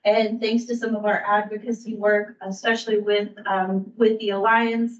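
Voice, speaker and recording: A woman who works for a county aging services bureau, giving a staff update at a public meeting in a measured way, with frequent ums and restarts. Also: mid-range voice, flat delivery. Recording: distant roomy mic, dull sound.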